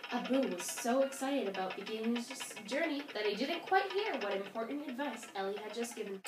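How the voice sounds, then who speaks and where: High-voiced woman telling a folktale. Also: lively intonation, brisk, over music. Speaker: storyteller. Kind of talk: dramatic storybook narration of a folktale. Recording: roomy, distant mic, faint noise.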